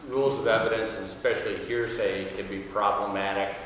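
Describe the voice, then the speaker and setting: A man in his sixties, a magistrate, giving an informal, halting welcome speech from a courtroom podium. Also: on the low side, steady tone, fairly bright tone. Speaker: magistrate introducing a speaker. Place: wood-paneled courtroom. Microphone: podium mic, standing back from it. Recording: very echoey hall, distant mic, faint noise.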